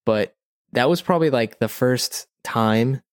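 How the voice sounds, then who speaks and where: A man's voice: medium pitch, unhurried, smooth, no room sound. Casual man telling a rambling story, dry recording. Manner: informal, rambling, heavy use of "like" and "you know". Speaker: podcast co-host.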